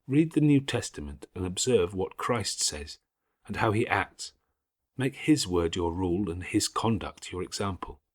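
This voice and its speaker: A patient, devout older schoolgirl from a classic storybook, voiced by a man. The voice is slightly husky with expressive rises and falls, and it preaches forgiveness in measured, formal language. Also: sits low. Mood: earnest, admonishing. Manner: measured, gently preaching, formal Victorian diction. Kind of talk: audiobook character acting.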